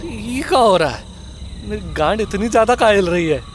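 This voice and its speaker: A man's voice: high-pitched, lively intonation, slight background noise, pitched above the performer's own voice. A man playing a cowardly, whining male sidekick in a comic horror audio drama, stammering and drawing out his words. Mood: nervous. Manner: whiny, stammering, drawn-out.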